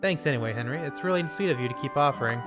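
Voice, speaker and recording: A perky young princess, as a man puts her on, eager and bright in a lifted voice. She talks quickly and cheerfully. Game music plays in the background. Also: mid-range voice, expressive, close to an everyday voice. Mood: sleepy. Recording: music playing behind.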